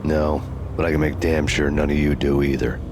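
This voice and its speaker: An actor playing a haunted, sleepless American fugitive cop who narrates a noir game in a deadpan, sardonic way. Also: male voice, rumbling low, moderate pace, background noise. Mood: cold defiance. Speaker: narrator and protagonist.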